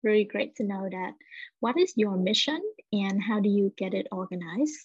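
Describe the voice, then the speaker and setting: A woman hosts a podcast interview, posing friendly, casual questions in a husky, breathy voice. The sound is dry and muffled, like a modest lo-fi recording. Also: medium pitch, normal speed, no room sound. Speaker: podcast host. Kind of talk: interviewing a guest. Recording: dull dry lo-fi mic.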